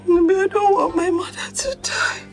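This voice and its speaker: Distraught nigerian girlfriend in a movie, high voice, begging, bit of music under it. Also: moderate pace. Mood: tearful, pleading. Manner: pleading, repetitive. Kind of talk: film drama dialogue.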